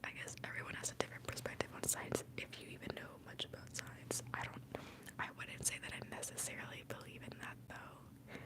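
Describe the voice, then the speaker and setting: A young woman from Mexico, a native Spanish speaker, whispering softly and casually very close to a sensitive microphone as an ASMR streamer. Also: poor audio. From born in Mexico, moved to the US as a young child. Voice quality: breathy whisper. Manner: soft, unhurried, close to the microphone. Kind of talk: ASMR whispering, casual rambling and answering chat. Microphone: close, highly sensitive microphone.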